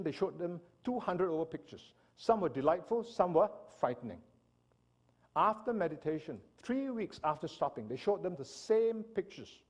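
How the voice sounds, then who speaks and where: Man from Singapore, lecture on faith, husky, quite high-pitched, lively voice, checks in with the audience. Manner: animated lecturing, asks audience "right?". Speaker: speaker. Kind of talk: church seminar talk.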